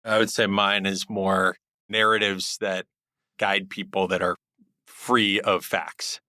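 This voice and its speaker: Middle-aged man, bright forward tone, deliberate guest answers, dry close recording. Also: low-pitched, little pitch movement, no echo. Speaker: guest, hedge fund group head. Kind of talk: podcast interview answers.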